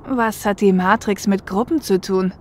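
Woman playing tough big sister runner in a cyberpunk audio drama, matter of fact, average pitch. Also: normal speed, a little hiss or hum, lightly acted. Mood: teasing. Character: seasoned female shadowrunner getaway driver, protective older sister.

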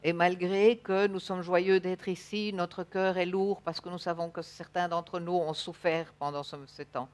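A woman's voice: on the low side, steady tone, a little slow, few pauses. A woman in her thirties interpreting a sermon live, feeling for her words at times. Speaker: church interpreter. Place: church stage, indoors.

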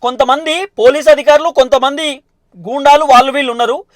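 A male news presenter with a Telangana Telugu accent gives informal commentary in a very high, quick voice. The sound is close and dry, and he circles back to explain his points again. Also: fairly even intonation, dry recording.

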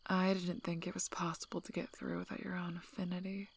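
A woman voicing a gruff, guarded but protective werewolf alpha in a breathy, soft-spoken ASMR role-play, dry and teasing. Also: lowish voice, level tone, fairly quick. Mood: low, softening. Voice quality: breathy, husky, half-whispered.

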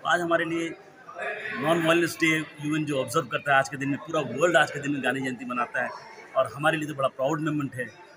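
A man in his forties, with a slightly raised pitch, speaks earnestly into a handheld reporter mic. He rambles a little and mixes in English phrases, and the hum of the hall is clearly audible behind him. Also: fairly even intonation, fairly quick. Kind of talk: news interview. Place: indoor town hall event.

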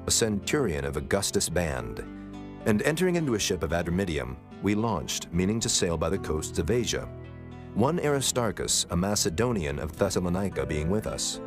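A man narrating scripture from a script in a formal, solemn manner. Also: low-pitched. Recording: prominent music bed under voice.

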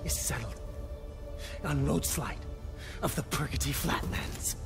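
Cryptic wasteland game character, gravelly, swooping intonation, portentous, halting, music behind. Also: male voice, medium pitch, expressive. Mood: ominous, reverent. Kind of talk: video game character acting.

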